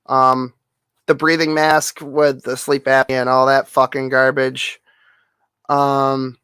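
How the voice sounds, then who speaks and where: A man streamer with a nasal, hoarse voice, rambling through a casual update full of fillers and offhand swearing. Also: medium pitch, level tone, slow, sharp tone, dry recording. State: hoarse, losing voice.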